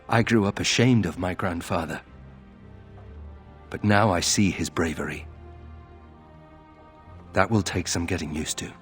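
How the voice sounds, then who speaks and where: Low, husky, brooding young nobleman. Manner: wry, rueful, conversational. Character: brooding young nobleman archer from a disgraced family. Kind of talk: video game character acting.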